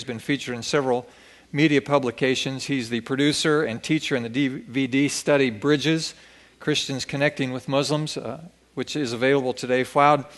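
A man's voice: mid-range voice, fairly bright tone. A man reading a formal introduction of a guest speaker to a church congregation. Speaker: church pastor.

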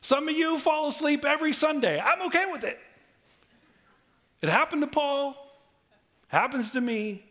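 A middle-aged male pastor giving a sermon, conversational and humorous, swinging from quiet asides to loud bursts. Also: very high-pitched, animated, normal speed, long pauses. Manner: humorous, conversational preaching with big swings in loudness.

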